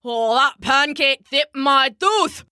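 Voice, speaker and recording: A woman's voice: sits high, lively intonation, slow pace, bright, forceful tone, no echo, clean studio sound, pitched above the performer's own voice, heavily acted. A woman doing a blustering Scottish giant. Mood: pained. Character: boastful then cowardly male Scottish giant.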